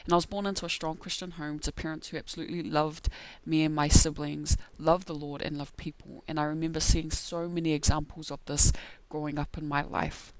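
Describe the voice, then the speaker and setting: A woman's voice: low voice, level tone, brisk, rough recording. A young woman with a New Zealand accent telling a prepared personal story as a seminar speaker. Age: young adult. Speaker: seminar speaker, community organiser.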